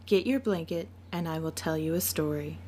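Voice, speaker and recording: A woman playing a loving, gentle mother in an amateur audiobook reading. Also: low voice, normal speed, pitched below the performer's own voice, natural voice. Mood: tender. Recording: clear steady background noise.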